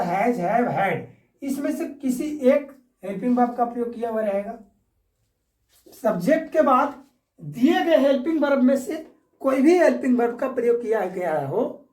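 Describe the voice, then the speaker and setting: A woman's voice: high-pitched, a little mellow. A woman with an Indian accent teaching grammar step by step like a classroom lesson, repeating each point and checking understanding. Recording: echoey room, distant microphone.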